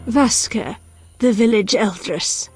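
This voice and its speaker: A woman playing a solemn, cryptic elderly village leader in a video game, speaking in sparse, measured phrases with a husky voice. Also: on the high side. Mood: guarded. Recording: music behind.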